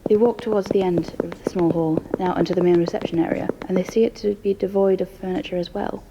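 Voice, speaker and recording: A woman's voice: lowish voice, steady tone, fast, highs cut off, noisy background. A woman reading scripted present-tense story narration as the narrator of an audio drama.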